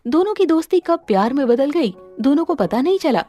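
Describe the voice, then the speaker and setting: Woman storyteller, high voice, really animated intonation, reading a moral story w/ music under it. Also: brisk. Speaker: story narrator. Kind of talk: scripted Hindi moral story narration.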